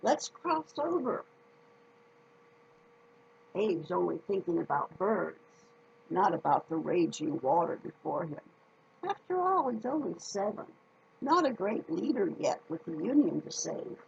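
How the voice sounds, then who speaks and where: A woman reading a children's story aloud in a folksy, deliberate manner, speaking directly to her listeners. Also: on the low side, animated, gravelly, husky, muffled recording.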